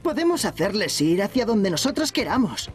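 Keen young hero, high bright voice, music going behind. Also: male voice, very animated. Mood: eager. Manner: earnest, quick and eager. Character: eager clever young man, keen to serve. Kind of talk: Spanish cartoon dub.

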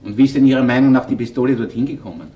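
An actor with a Viennese accent playing a seasoned, dry and persistent police chief inspector in a conversational radio crime play. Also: male voice, medium pitch, rapid-fire, warm tone, lo-fi sound, natural voice. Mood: matter-of-fact. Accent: Austrian, Viennese. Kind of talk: conversational radio drama acting.

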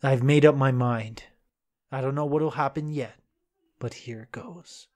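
A man's voice: mid-range voice, steady tone, a little slow, a little mellow, close to an everyday voice. A man playing a brooding, chivalrous young fighter with an intense, earnest delivery in a fan-made manga drama. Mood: resolute.

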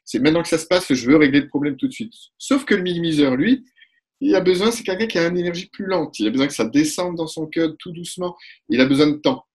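A man in his forties, a couples therapist, explaining ideas in a lively webinar talk and acting out short exchanges, recorded through a webcam at home. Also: on the high side, breathy edge. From Italy. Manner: animated, gestures a lot, acts out quick exchanges. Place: room at home. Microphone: webcam on a video call.

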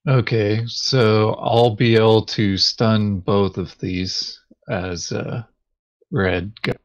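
A man chatting casually over a board game in a laconic, low-key way. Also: steady tone, slow pace, highs cut off.

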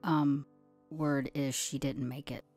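A woman's voice: very deep voice, little pitch movement, slow, a little mellow. A woman playing a curt, tough female guide in a sci-fi audio drama. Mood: reluctant. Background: music.

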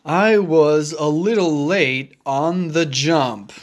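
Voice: mid-range voice, slow pace, voice pushed down, natural voice. A performer voicing a big, clumsy, earnest male elephant learning to dance, hesitant and effortful, in a children's picture book. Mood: dutiful, puzzled.

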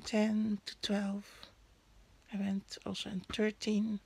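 A woman vlogging casually about a newspaper, speaking English as a Hakka and Cantonese native, often pausing to search for words. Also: mid-range voice, completely flat delivery, gravelly, mellow, breathy edge, no room sound. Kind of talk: casual chat. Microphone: phone camera held over a newspaper.

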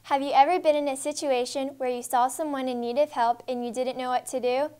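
High-pitched teen girl anchor, upbeat, reads from script. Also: fairly even intonation, a little fast, darkish tone, smooth. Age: teens. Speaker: student news anchor. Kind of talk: scripted news reading. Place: school studio set.

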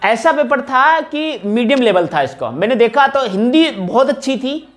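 A man's voice: extremely high pitch, animated, normal speed, fairly bright tone. A man gives an informal explanatory lecture as a coaching teacher, often repeating phrases for emphasis. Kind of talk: informal exam analysis lecture to camera. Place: small indoor room, at a whiteboard. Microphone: wired lapel mic.

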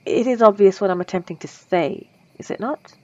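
A woman's voice: mid-range voice, brisk, dark tone, no echo, dull audio, close to an everyday voice. A woman voicing a polite, lovestruck female vampire in an ASMR roleplay, speaking formally and old-fashioned with nervous stammers. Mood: earnest.

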